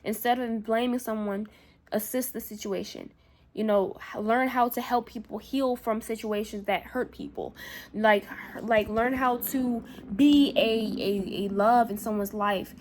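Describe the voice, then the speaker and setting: Woman vlogger, slightly high pitch, rambling and candid, keeps checking in with the viewer. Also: fairly even intonation, measured pace. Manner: rambling, repeats herself, frequent "you know what I'm saying"; candid, casual swearing. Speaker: spiritual vlogger. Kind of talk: casual spiritual monologue to camera.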